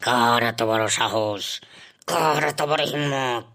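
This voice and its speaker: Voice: medium pitch, heavy background noise. A performer voicing a stern, weary guru who rules the ghosts in a Bengali horror comedy cartoon. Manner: stern, exasperated, drawn-out calls.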